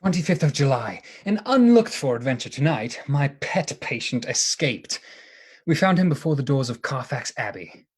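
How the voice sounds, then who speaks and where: An actor playing an asylum doctor who gravely dictates a journal in a radio play. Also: mid-range voice, big pitch swings, normal speed.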